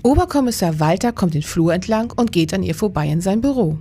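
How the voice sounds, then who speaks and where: A woman's voice: medium pitch, lively intonation, brisk, warm tone, a little hiss or hum, natural voice. A woman acting in a crime radio play as an attentive, supportive police investigator. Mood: alert, questioning. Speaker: radio drama actor.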